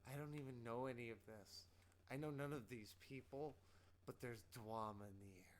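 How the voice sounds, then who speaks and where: A man's voice: medium pitch, moderate pace, a little hiss or hum. A man hosting a casual live-stream movie night, bantering and teasing his guest.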